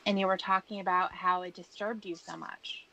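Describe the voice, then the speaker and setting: Creaky-voiced woman pressing with skeptical questions. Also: lowish voice, little pitch movement, moderate pace, pushed and bright. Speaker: interrogator. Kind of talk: probing interview questioning. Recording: noisy room recording.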